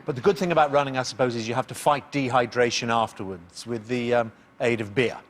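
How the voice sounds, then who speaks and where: A man's voice: mid-range voice, steady tone, slight background noise. Jovial man hosting a TV quiz. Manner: jovial, reads questions deliberately, chuckles at his own puns. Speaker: quiz show host. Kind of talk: TV quiz hosting, scripted intro and banter.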